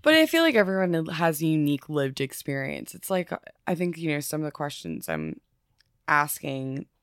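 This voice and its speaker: A woman hosting a podcast, asking gentle, thoughtful interview questions. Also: low voice, very animated, moderate pace, no room sound, studio quality. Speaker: podcast host.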